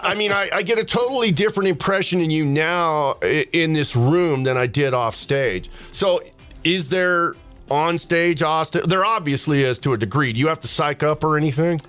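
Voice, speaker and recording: A man's voice: on the high side, normal speed, a bit bright, clear, resonant tone, soft background music. A young man from Texas hosting a casual comedy podcast, rambling and joking with lots of fillers. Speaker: podcast host, radio personality.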